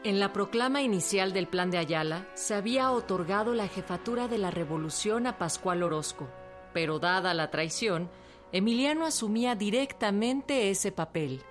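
Mexican woman narrating over clear music. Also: medium pitch, animated, moderate pace. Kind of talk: scripted documentary narration for radio drama.

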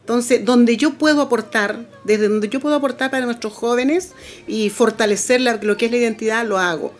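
Middle-aged woman, lively storyteller. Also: a little high, moderate pace, soft background music. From Camiña, northern Chile. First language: Aymara. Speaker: Aymara language teacher, interviewee. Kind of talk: personal story on a radio program.

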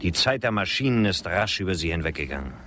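Urbane detached gentleman, lowish voice, lecturing politely, radio drama with slight engine noise. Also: expressive, quick pace. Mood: coolly resigned. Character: worldly, matter-of-fact gentleman champion of progress. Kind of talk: German radio drama acting.

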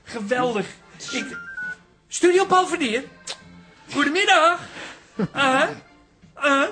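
A man acting in a Dutch radio comedy drama as an excitable, boastful amateur photographer with a self-important, stammering manner. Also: very high voice, slow pace, soft background music, full character voice. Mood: cheerful, boastful.

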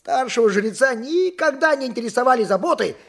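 Excitable high-voiced donkey driver. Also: male voice, a little fast, gravelly, heavily acted. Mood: stubborn, doubtful. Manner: theatrical, indignant, chuckling cunningly. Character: excitable, stubborn, pious working-class donkey-driver husband. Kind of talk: audio play stage acting.

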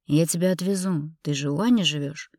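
A woman's voice: low-pitched, fairly quick, darkish tone, dry recording, studio quality. A woman narrator voicing a guilty, tense husband who speaks tersely and pleads, in an audiobook drama. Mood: guilty, subdued.

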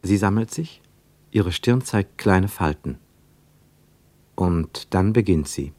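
An actor playing the wry, observant narrator of a literary radio drama, with measured, pointed phrasing. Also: male voice, low-pitched, lively intonation, dark tone, no echo, exaggerated voice. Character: wry, observant male narrator of a travel story.

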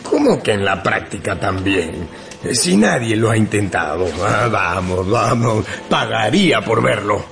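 A man playing a jovial, sceptical club gentleman in a radio drama, teasing and theatrical, over a music bed. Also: lowish voice, very animated, a little slow, vocal fry, exaggerated voice.

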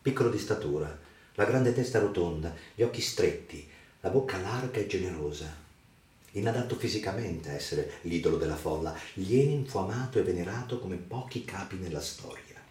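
A man narrates a radio drama as literary reportage, in a measured, theatrical, solemn delivery with deliberate pauses. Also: deep voice, expressive, darkish tone. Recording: reverberant voice over music. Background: music.

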